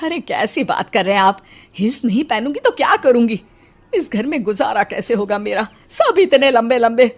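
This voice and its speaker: A woman's voice: fairly high pitch, very animated, moderate pace. A woman voicing a middle-aged, anxious mother-in-law in a cartoon, with a dramatic, complaining manner. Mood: pleading.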